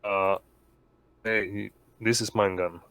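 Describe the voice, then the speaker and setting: A man taking part in a tabletop game, talking casually in halting, hesitant phrases. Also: on the low side, steady tone, a bit bright. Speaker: tabletop RPG player. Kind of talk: casual rules discussion.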